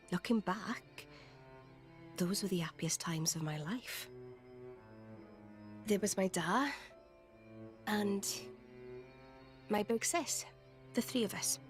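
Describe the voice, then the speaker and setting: A woman's voice: mid-range voice, animated, very slow, long pauses, faint music behind. A woman voicing a feisty, blunt young former noblewoman in a video game, with a cheeky, informal way of talking. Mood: wistful, sad.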